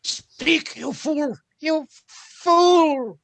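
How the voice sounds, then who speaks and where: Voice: high-pitched, drawn-out words, creaky, fairly bright tone, no room sound, exaggerated voice. A performer playing a tormented elderly male miser in a theatrical radio drama. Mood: anguished pleading.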